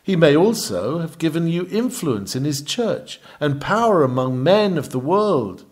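A man reading a sermon aloud in a measured, formal and emphatic oratorical style. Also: a little high, big pitch swings. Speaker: reader of a printed sermon. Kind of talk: read-aloud sermon, preaching delivery.

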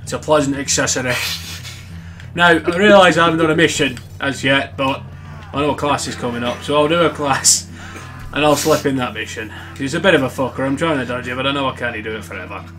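A man putting on a brash, foul-mouthed Scottish character with a blunt, shouty manner for comic gameplay commentary. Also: medium pitch, a little fast, lightly acted. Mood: brash, grumbling. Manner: heavy swearing, blunt, shouty. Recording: music behind the voice.

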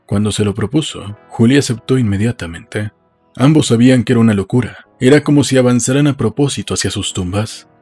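A man's voice: mid-range voice, brisk, warm tone, breathy edge. A man narrating a novel as a scripted literary audiobook. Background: faint music.

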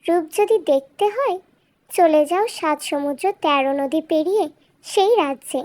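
A woman's voice: sits high, moderate pace, darkish tone, pitched above the performer's own voice, natural voice. A woman voicing a clever, cheeky little talking bird in a storybook cartoon, with a theatrical, coaxing and taunting manner. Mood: mocking, proud.

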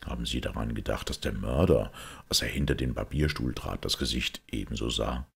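Guy doing a sombre priest detective, super low and really husky, measured audiobook talk. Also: fairly bright tone, exaggerated voice. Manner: measured, reflective explaining. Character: grave, thoughtful priest detective, calm and sombre. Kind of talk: audiobook character acting.

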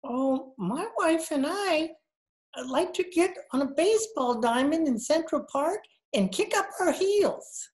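A man's voice: very high-pitched, expressive, a little slow, rough recording. A man voicing a gleeful, silly male sidekick with a giggly, gushing manner in old-time radio comedy. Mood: chirpy, eager. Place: indoor room at home. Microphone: computer mic on video call.

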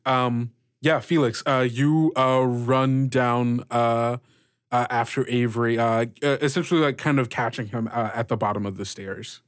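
A man's voice: medium pitch, fairly even intonation, moderate pace, no room sound. Man game master, close dry sound. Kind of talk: improvised tabletop game narration.

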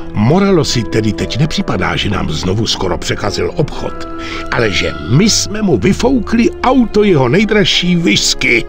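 Creaky-voiced, commanding mafia don. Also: male voice, mid-range voice. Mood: wry. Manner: measured, authoritative. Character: shrewd older mafia don, self-assured and commanding. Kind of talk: video game cutscene acting in Czech.

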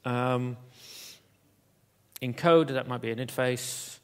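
A man giving a conference keynote in an auditorium, talking in a halting way with many fillers and restarts. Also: medium pitch, steady tone, smooth. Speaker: keynote speaker.

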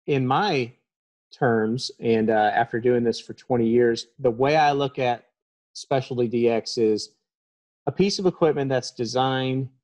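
A man's voice: mid-range voice, a little slow, a little mellow. Brief: man in his thirties, trainer, plain explanatory delivery, webcam sound. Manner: explanatory, plain-spoken, frequent ums. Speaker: HVAC trainer. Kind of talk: technical presentation.

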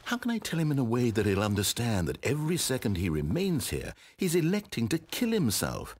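A man's voice: mid-range voice, wildly swooping pitch, a little fast, darkish tone. A man with a British accent playing a wry, condescending, controlling narrator in a game, eloquent and theatrical. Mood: desperate, pleading. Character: wry, exasperated, controlling male storyteller narrator. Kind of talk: theatrical scripted storybook narration.

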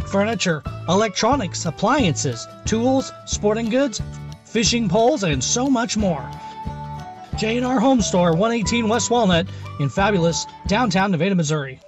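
High-voiced male ad announcer over music. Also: animated, normal speed. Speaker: advert announcer. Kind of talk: scripted ad read.

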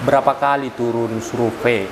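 A man's voice: mid-range voice, steady tone, moderate pace, noisy background. A young man hosting an interview, echoing his guest's words and asking short follow-up questions. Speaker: podcast host. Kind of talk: interview, frequent short backchannels. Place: office room with sofas, indoors. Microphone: clip-on lapel mic.

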